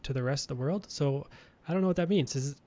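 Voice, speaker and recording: Canadian man in relaxed podcast chat. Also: a little high, quick pace. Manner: relaxed, thinks aloud with restarts, chuckles. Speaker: podcast co-host.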